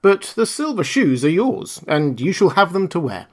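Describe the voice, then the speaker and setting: A gentle, motherly little old witch from a storybook, as a male reader puts her on. Her voice sits high above the reader's own and swings widely in pitch. She speaks solemnly and kindly. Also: big pitch swings, normal speed. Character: kind little old female good witch.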